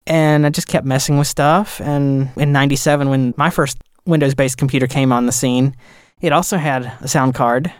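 A man's voice: medium pitch, fairly even intonation, normal speed, smooth, no room sound, studio quality. A man, an audio engineer, telling stories in a casual podcast conversation with rambling enthusiasm.

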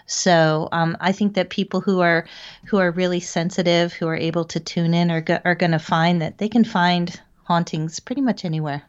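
Low-voiced woman, reflective, dull lo-fi sound. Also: fairly even intonation, normal speed, clear, resonant tone. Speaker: guest, paranormal investigator and medium. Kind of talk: reflective conversational interview answers.

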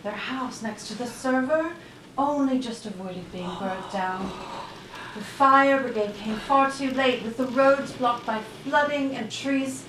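Audio drama: actress as kindly, weary, no-nonsense woman, echoey room with clear background noise. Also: fairly high pitch, a little slow, natural voice. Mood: practical, concerned. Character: weary, practical, kindly woman sheltering flood victims. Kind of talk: audio play acting. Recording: echoey room, distant mic, noisy background.

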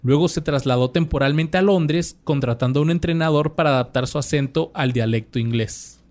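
A young man with a Mexican accent, his voice sitting a bit high, talking through a life story on a podcast. He reads from notes in a relaxed, slangy way, stumbling and restarting now and then. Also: brisk. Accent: Mexican Spanish. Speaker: podcast host. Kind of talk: casual podcast chat, reading a bio.

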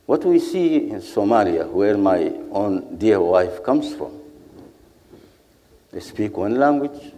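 A man speaks on a panel in a low, dark, mellow voice. He is earnest and rhetorical and builds his case in repeated lists. His words ring out with the long reverb of a large hall. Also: lively intonation, slow. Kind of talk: formal panel speech.

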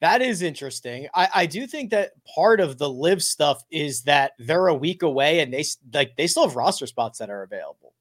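Male podcast host, fairly high voice, very expressive, playful, loose chat. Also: brisk. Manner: animated, jokey, some restarts. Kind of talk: casual sports podcast chat.

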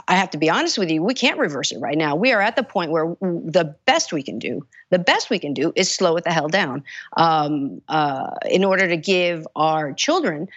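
A woman's voice: lowish voice, a bit bright, no room sound, highs cut off. A woman, a congressional candidate giving earnest, urgent answers with many restarts. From United States. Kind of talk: interview answers.

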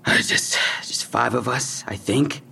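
Young male suspect for audio drama: husky, slangy, evasive, all defensive bravado over fear. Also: medium pitch, unhurried, sharp tone, exaggerated voice. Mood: guarded. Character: defensive young robbery suspect, tough talk hiding fear. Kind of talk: naturalistic audio drama acting.

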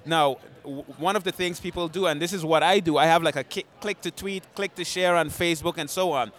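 A man's voice: fairly high pitch, a little fast, fairly bright tone. A man hosting a podcast, chatting casually and enthusiastically, explaining ideas with many fillers and restarts, his voice a little strained. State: slightly strained voice after an event. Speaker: podcast host. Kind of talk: casual conversation.

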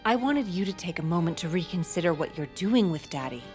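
An actress playing an anxious, wounded grown daughter in an audio drama, halting and pleading. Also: female voice, fairly low pitch, lively intonation, a little mellow, soft background music, close to an everyday voice. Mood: defensive, hurt. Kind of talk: audio fiction drama acting.

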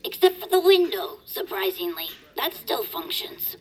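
A woman's voice: mid-range voice, big pitch swings, moderate pace, a little mellow, close to an everyday voice, low-quality recording. A woman voicing an apologetic, hesitant bird stagehand in a comedy audio drama. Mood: sheepish. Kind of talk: audio fiction comedy acting.

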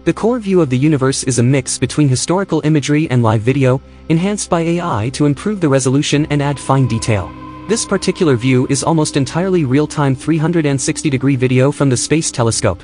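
An actor playing a wry, reluctant, anxious tech colleague in a naturalistic audio drama, with a dry manner. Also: male voice, fairly high pitch, lively intonation, fast talker, a little mellow, soft background music, lightly acted. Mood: dry, matter-of-fact.

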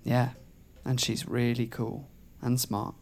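An actress playing a guarded, wryly joking friend on the run in audio drama dialogue. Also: female voice, rumbling low, flat delivery, takes it slowly, vocal fry, darkish tone, heavily acted. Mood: gentle.

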